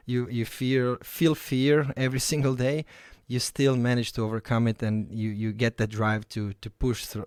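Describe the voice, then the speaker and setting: A man in his thirties from Croatia speaks with a Croatian accent in an informal founder's talk to camera. His voice sits at an average male pitch, and the recording is dry with very little room sound. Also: a little mellow, smooth, no room sound. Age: 30s. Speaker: company founder and CEO.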